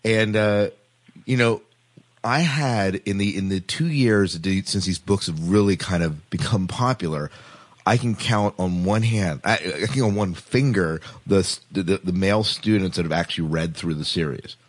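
Low, husky male podcast host. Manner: halting, repeats and restarts words. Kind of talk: casual podcast discussion.

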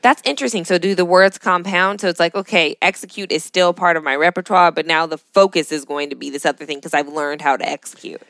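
A woman's voice: fairly low pitch, fast, few pauses, fairly bright tone, no room sound. A woman co-hosting a podcast, speaking casually and reflectively in an upbeat, enthusiastic way. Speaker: podcast co-host.

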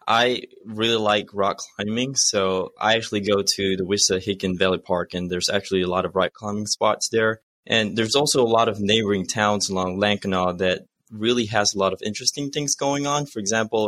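Guy in his 30s from malaysia, lowish voice, conversational doctor chat, dry audio. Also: fairly even intonation, fairly quick, few pauses, fairly bright tone, no room sound. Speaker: cardiology fellow, podcast guest. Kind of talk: conversational medical presentation and chat.

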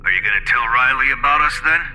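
Man voicing smug possessive young guy, coaxing, menacing, forceful tone, horror audiobook, heavy reverb. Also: mid-range voice, fast talker, voice pushed down. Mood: taunting.

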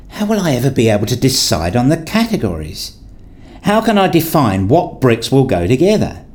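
A man acting as a wounded, self-justifying father in a radio play, speaking in an introspective, deliberate way. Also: mid-range voice. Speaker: narrator and lead actor in a radio play. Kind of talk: radio play narration and dialogue.

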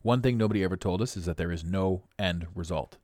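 A middle-aged man with a raspy voice, hosting a podcast with a blunt, preachy motivational monologue. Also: sits low, fast, darkish tone, no echo, studio quality. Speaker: podcast host.

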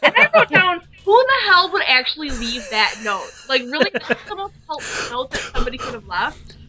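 High bright voiced woman, wry and kinda incredulous, podcast cohost. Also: sing-song, brisk, low-quality recording. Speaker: podcast co-host. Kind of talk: casual movie review chat.

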